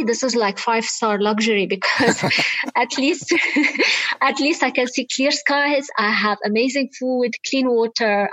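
A woman from Lebanon answers interview questions over a call, earnest and reflective, with a light laugh now and then. Her voice sits a bit high. The audio is dull and lo-fi, with no room sound. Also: fairly bright tone, no room sound. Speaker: guest. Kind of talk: remote interview conversation.

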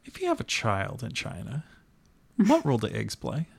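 A man co-hosting a podcast, chatting casually about a cooking show with playful, animated bursts. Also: moderate pace, vocal fry, slightly rough. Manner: animated, playful, jumps up in pitch when excited. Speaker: podcast co-host.

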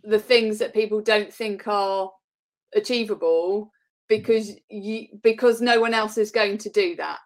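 A woman's voice: medium pitch, fairly even intonation, a little slow. A woman hosting a podcast, a doctor, asking her guest thoughtful, encouraging questions. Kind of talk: interview questions.

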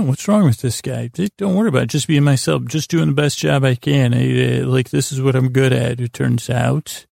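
A man with a heavily creaky, gravelly voice delivers a meandering bedtime podcast monologue. His pitch stays fairly even while he stammers and circles back on himself in a self-deprecating way. The sound is dry and close. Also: no echo. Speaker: podcast host.

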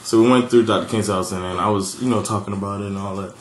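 An American man from Miami with a fairly high voice, telling stories in a casual podcast chat, laughing often and swearing freely. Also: steady tone, fast, roomy sound, slight background noise. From Miami, USA. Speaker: podcast co-host.

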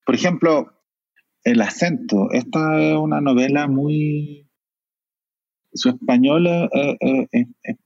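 A Chilean novelist in his forties with a Chilean Spanish accent, speaking thoughtfully, with many pauses and fillers. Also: on the high side, darkish tone, dry recording, dull audio. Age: 40s. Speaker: novelist guest. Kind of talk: reflective conversation.

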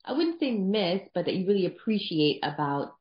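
Podcast host: woman, lowish voice, conversational questions, echoey lo-fi sound. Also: sing-song, normal speed. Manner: chatty, conversational, some fillers. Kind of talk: interview questions. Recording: dull sound in an echoey room.

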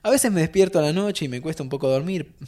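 A man's voice: fairly high pitch, fast talker, mellow, natural voice. An actor playing a teasing young Argentine time traveler who hides his sadness, in naturalistic audio fiction dialogue. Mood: guarded. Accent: Argentine, Rioplatense. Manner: casual, teasing.

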